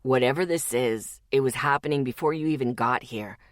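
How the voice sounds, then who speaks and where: A woman voicing a calm, steady, protective young man who speaks low, soft and even. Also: a little fast, no echo, studio quality. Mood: gentle.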